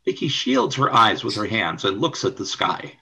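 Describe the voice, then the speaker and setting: A man's voice: on the low side, wildly swooping pitch, normal speed, creaky, sharp tone, husky. A man reading scripted scene narration for a radio play. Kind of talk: scripted narration of stage directions.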